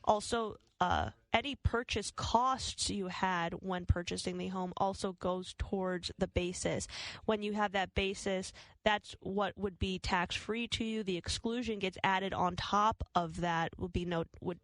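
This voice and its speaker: Creaky-voiced woman hosting a finance show. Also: medium pitch, fairly even intonation, a little slow, no echo, low-quality recording. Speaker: host of a financial advice show. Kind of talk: conversational explanation of personal finance.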